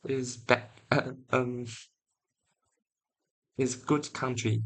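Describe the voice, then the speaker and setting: Halting man with a Taiwanese accent. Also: medium pitch, steady tone. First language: Mandarin. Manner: halting, searching for English words. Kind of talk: casual chat.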